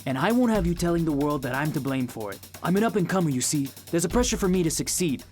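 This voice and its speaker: An actor playing a brash, prickly young rookie wrestler in an audio drama, cocky and sneering. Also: male voice, a little high, expressive, fast talker, darkish tone, soft background music, lightly acted. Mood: taunting, combative.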